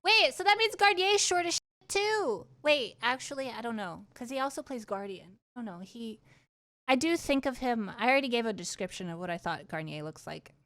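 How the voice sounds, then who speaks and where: A woman livestreamer casually chatting with her viewers and telling personal stories in an animated, candid, giggly way, swearing freely. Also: a little high, normal speed. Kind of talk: casual chat and personal storytelling.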